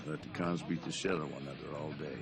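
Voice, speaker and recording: An actor playing a blunt, menacing ex-convict in a comedy film, with a gravelly voice and a threatening way of talking. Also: male voice, a bass voice, fairly even intonation, fast talker. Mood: menacing deadpan. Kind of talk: live-action comedy film acting. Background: music.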